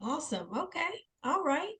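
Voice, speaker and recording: Bright high-voiced woman moderator. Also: very animated. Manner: calls on guests by name, quick short acknowledgements. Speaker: panel moderator. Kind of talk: hosting a panel discussion.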